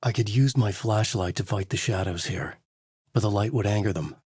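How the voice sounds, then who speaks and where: An actor playing a brooding, haunted male writer who gravely narrates his own horror story in a husky voice for a video game. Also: fairly low pitch, normal speed, darkish tone, no room sound, clean studio sound. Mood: brooding, ominous.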